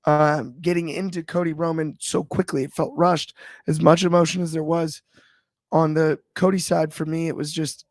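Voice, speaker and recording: Higher-voiced man in wrestling talk. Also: no room sound, clean studio sound. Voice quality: slightly raspy. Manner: thoughtful, frequent restarts and fillers. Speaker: wrestling podcast panelist. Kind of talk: casual discussion.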